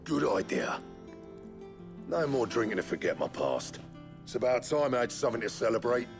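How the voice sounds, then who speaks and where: Hearty good-humoured british blacksmith in a fantasy game, low lively creaky voice. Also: male voice, moderate pace. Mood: warm, contented. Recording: music behind the voice.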